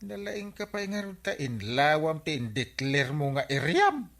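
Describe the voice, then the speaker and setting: A man's voice: fairly high pitch, big pitch swings, unhurried, a bit bright, exaggerated voice. A man with an Ilocano Filipino accent playing a scolding, teasing village captain in a radio comedy drama.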